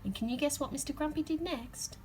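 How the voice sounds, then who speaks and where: A woman reading a children's picture book aloud, with expressive emphasis and drawn-out key words. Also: high voice, background noise. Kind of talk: read-aloud storytelling for children.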